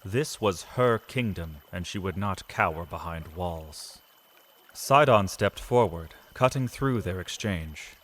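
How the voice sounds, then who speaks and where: A man delivering dramatic, measured literary narration as the storyteller of a scripted fantasy tale. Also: deep voice, expressive, fairly bright tone. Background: music.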